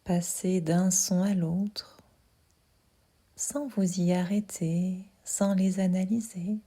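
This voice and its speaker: Slow, soft, breathy woman guiding meditation. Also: fairly low pitch. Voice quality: soft, breathy, half-whispered. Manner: calm, soothing, unhurried instructions with pauses. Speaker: yoga nidra guide. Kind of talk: guided sleep meditation.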